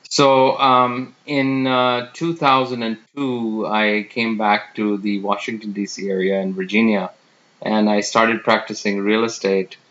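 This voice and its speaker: A man in his fifties from India introducing himself as a real estate professional, talking unhurriedly with many fillers and pauses. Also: lowish voice, little pitch movement, fairly bright tone. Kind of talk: self-introduction monologue. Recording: dull lo-fi sound.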